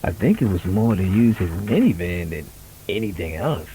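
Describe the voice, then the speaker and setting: A man playing a casual high school senior in an amateur audio drama, talking conversationally. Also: very animated, moderate pace, mellow, slight background noise. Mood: casual, offhand.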